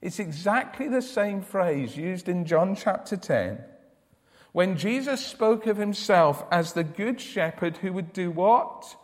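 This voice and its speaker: A man with a loud, high voice preaches slowly and deliberately, stressing his points and often repeating words as he starts each thought. His words ring out with the long reverb of a large church hall. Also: expressive. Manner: emphatic, repeats words when starting sentences. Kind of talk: sermon.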